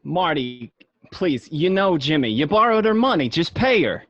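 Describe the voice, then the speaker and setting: A man's voice: fairly high pitch, big pitch swings, normal speed, a bit bright. A man playing a streetwise young gangster in a radio drama, pleading and exasperated as he tries to keep the peace. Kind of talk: amateur radio drama acting. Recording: dull lo-fi home recording.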